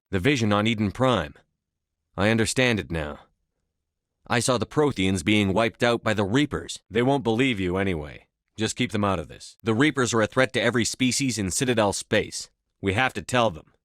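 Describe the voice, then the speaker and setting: Low-voiced, resolute military commander played by a man. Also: fairly bright tone, no echo, clean studio sound, lightly acted. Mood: urgent, determined. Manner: firm, terse, authoritative. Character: resolute, blunt human military commander in space. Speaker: video game protagonist. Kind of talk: scripted game dialogue.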